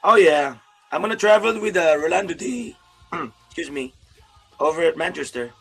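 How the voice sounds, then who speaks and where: A man with a gravelly, husky voice hosts a casual chat over a video call. He talks in a friendly, rambling way and laughs a lot, with faint music behind him. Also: a little high, expressive, moderate pace, fairly bright tone, low-quality recording. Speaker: YouTube host, interviewer.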